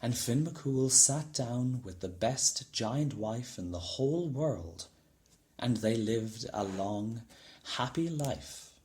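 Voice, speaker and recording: A man reading a children's storybook aloud in an expressive narrating style. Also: mid-range voice, slow. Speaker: storybook reader.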